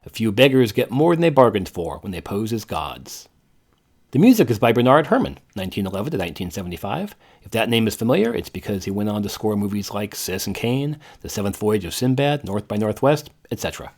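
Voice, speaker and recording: A man's voice: on the low side, very animated, fast talker. A man hosting a podcast, reading a plain, informative scripted introduction. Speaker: podcast host.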